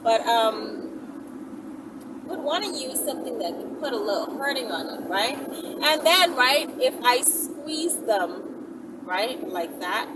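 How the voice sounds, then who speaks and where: Loud vehicle-like noise surrounds a woman speaking at some distance from the microphone. Her voice sits fairly high. She talks casually as a video host, thinking out loud and often checking in with the listener. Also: animated, slow. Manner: chatty, thinks aloud, often checks in with "right" and "you know". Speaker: online video host answering viewer questions. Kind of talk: casual, improvised question-and-answer talk to camera.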